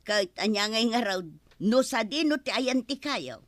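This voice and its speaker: A sharp-tongued, nagging village wife, played by an actress. The voice is fairly high and recorded dry, acted as Filipino radio comedy drama. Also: female voice, moderate pace, no room sound, lightly acted.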